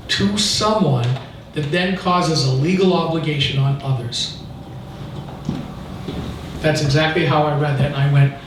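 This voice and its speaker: An American man giving an informal talk at a local meeting, telling his story in an emphatic, indignant, explanatory way. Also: fairly high pitch. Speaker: activist speaking at a local political meeting. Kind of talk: informal public talk, recounting an anecdote. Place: indoor meeting room, recorded from a distance. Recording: echoey room, distant mic, faint noise.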